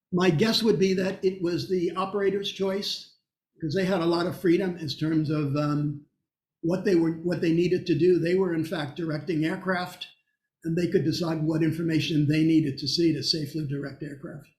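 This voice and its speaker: A man giving a technical presentation and answering questions in a halting, explanatory way, often pausing mid-sentence. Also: fairly high pitch, steady tone, darkish tone, reverberant.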